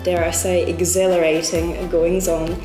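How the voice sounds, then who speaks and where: A woman's voice: fairly low pitch, level tone, unhurried. A woman hosting a student TV programme, reading a scripted intro with playful, mock-grand wording. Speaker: host. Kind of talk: scripted presenting. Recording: echoey room with music behind.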